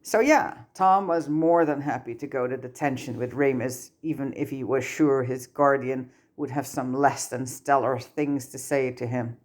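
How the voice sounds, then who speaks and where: A woman's voice: very deep voice, moderate pace, few pauses, a little mellow. A woman reading a story aloud as scripted audiobook-style narration. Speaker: amateur fan fiction narrator.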